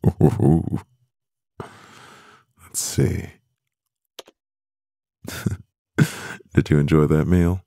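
A man playing a stressed, devoted CEO boyfriend in an intimate ASMR roleplay, murmuring with a husky, gravelly voice and pauses. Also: extremely low pitch, fairly even intonation, warm tone, dry recording, clean studio sound, exaggerated voice. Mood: amused, flustered.

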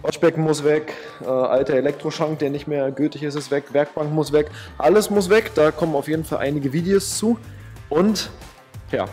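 A man's voice: a little high, moderate pace, darkish tone, faint music behind. A man, talking casually and emphatically to a handheld camera indoors. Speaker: vlogger, gym owner and coach. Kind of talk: casual vlog talk to camera. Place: large indoor rooms, empty hall and tiled gym. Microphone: camera held at arm's length.

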